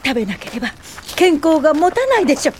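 A woman's voice: high voice, sing-song, fast talker, a little mellow, a little hiss or hum. A woman playing a determined, compassionate pioneer social worker, earnest and polite, in a radio drama. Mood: passionate.

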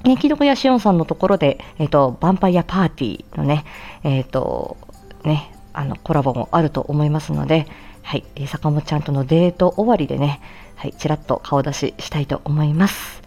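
A woman's voice: low-pitched, fairly quick, mellow, highs cut off. A woman host giving a casual, chatty morning announcement in a cheerful, giggly and informal manner. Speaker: audio channel host, speech therapist and amateur voice actor. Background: background music.

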